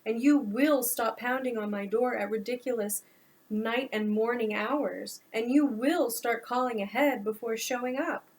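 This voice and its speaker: A woman's voice: fairly high pitch, unhurried. A woman voicing a blunt, exasperated young man who answers his mother, in a storybook reading.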